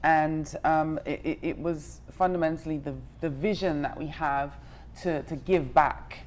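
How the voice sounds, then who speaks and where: Low-voiced woman, halting interview delivery. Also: steady tone, darkish tone. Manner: hesitant, halting phrasing. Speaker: fellowship organiser, interview guest. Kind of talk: conversational interview answers.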